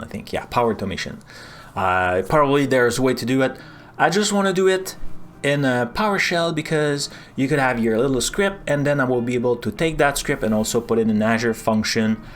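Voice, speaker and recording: A man with a French accent leads a casual live coding stream at an ordinary middle pitch. He rambles and restarts his sentences, filling the gaps with "uh" and "um" as he works. Soft music plays faintly behind him. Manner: chatty, rambling, self-interrupting with fillers.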